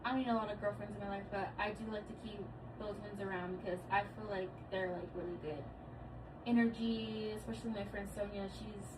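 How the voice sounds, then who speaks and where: Relaxed thirty-year-old woman, noisy room. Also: medium pitch, brisk. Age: 30s. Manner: relaxed, thoughtful, speaks with many fillers. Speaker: guest, daughter. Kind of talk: casual family chat. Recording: distant mic with clear background noise.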